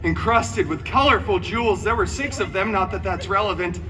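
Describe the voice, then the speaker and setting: A man's voice: high voice, moderate pace, crowd noise. A man performing comic live storytelling as a costumed theme park entertainer, theatrical and sarcastic, with wry asides. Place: outdoor theme park show. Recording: distant live amplified sound over loud music. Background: music.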